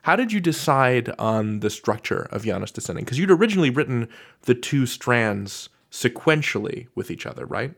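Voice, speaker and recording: A man's voice: mid-range voice, big pitch swings, moderate pace, creaky. A man hosting a podcast interview in a conversational style, as the one asking the questions.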